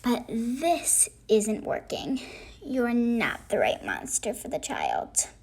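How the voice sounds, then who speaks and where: A woman's voice: on the high side, huge pitch swings, slow pace, creaky, darkish tone, husky, exaggerated voice. Woman reading as a stern, commanding monster boss. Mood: dismissive. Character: stern male monster boss giving orders.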